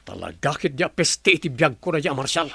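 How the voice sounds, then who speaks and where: A man playing a jealous, lovelorn gentleman in an Ilocano radio drama, resentful and wounded, with an Ilocano accent and husky voice. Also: a little high, very animated, a little fast, no echo, heavily acted. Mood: bitter jealousy. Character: jealous, bitter lovelorn gentleman, master of the house.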